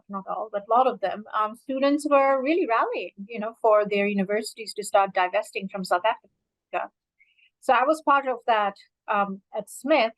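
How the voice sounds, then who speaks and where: A woman's voice: medium pitch, no echo, rough recording. A woman in her fifties from Bangladesh, speaking earnestly and reflectively to an audience, with many fillers. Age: 50s. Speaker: alumna speaker. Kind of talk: personal talk to an audience.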